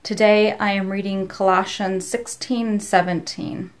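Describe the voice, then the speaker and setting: A woman's voice: medium pitch, steady tone, a little slow. A middle-aged woman speaking plainly to her congregation as a scripture reader, recorded at home at arm's length. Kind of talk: casual greeting and scripture reading. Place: indoors, room at home by windows. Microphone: phone or laptop at arm's length.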